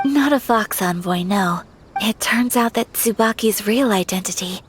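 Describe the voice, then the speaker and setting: A woman voicing a refined, gentle young noblewoman in an anime game, speaking formally and with measured politeness. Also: mid-range voice, natural voice. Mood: earnest. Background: game music.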